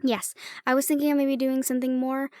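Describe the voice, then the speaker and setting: High-voiced, affectionate young-sounding fantasy heroine, acted by a woman. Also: little pitch movement, fairly quick, warm tone, dry recording, studio quality, natural voice. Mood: cheerful, chatty. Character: affectionate, teasing human woman, dragon's partner and healer shopkeeper. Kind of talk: roleplay audio drama addressed to the listener.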